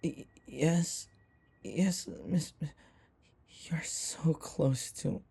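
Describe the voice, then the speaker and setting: Man playing a hesitant, gravelly-voiced submissive manservant. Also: a little high, lively intonation, drawn-out words, mellow, whispered, full character voice. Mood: shy, flustered. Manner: hesitant, stammering, deferential. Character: nervous, devoted, submissive young human manservant to a vampire mistress. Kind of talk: audio roleplay acting.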